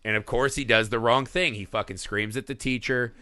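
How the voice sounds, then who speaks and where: Man, middle-aged, podcast co-host, profane, animated, sharp bright tone, dry close sound. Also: medium pitch, a little fast, no room sound. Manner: profane, animated, acts out scenes in voices. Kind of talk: casual film discussion.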